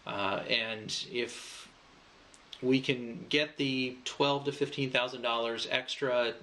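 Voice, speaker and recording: A man giving an informal crowdfunding pitch, explaining things in a loose, conversational way. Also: steady tone, slow pace, pushed and bright. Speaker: project creator pitching a fundraiser.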